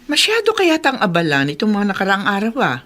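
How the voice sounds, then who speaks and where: A woman's voice: mid-range voice, huge pitch swings, fast, a bit bright, soft background music. A woman playing a fretful, caring middle-aged aunt in a radio drama. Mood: worried, chiding. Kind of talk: Tagalog radio drama acting.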